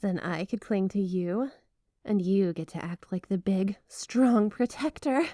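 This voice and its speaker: A woman's voice: mid-range voice, a little slow, dry recording, lightly acted. Woman acting a sweetly menacing, flirty yandere wife, close and dry. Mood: coy, flirty. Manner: gushing, coy, giggly, with dark possessive undertones. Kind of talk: ASMR roleplay, addressing the listener as spouse. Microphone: close, dry microphone.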